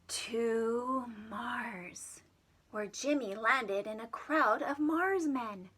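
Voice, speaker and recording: A woman reading a storybook to young children as a preschool storyteller, dramatic and expressive. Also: fairly high pitch, very slow, a little hiss or hum. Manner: dramatic, with whispered asides to young listeners.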